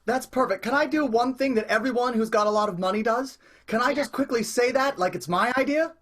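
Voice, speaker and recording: A woman's voice: fairly high pitch, brisk, natural voice. A woman playing a childlike, eager schemer, hesitant and gushing, in an improvised comic radio play. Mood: delighted. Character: childlike, eager, cookie-loving schemer named Jack.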